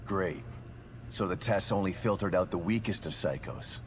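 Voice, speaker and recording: A man's voice: low-pitched, lively intonation, normal speed, background noise. An actor playing a weary, determined father in a video game, talking in a terse, blunt, wry way. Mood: wry, skeptical. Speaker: father searching for his daughter in a horror game. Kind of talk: video game cutscene acting. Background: background music.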